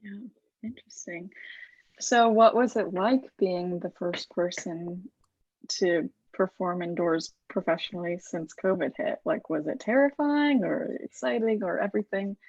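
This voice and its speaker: A woman's voice: fairly low pitch, a little slow, creaky, darkish tone, dry recording, highs cut off. A woman interviewer asking casual questions in an encouraging, conversational manner.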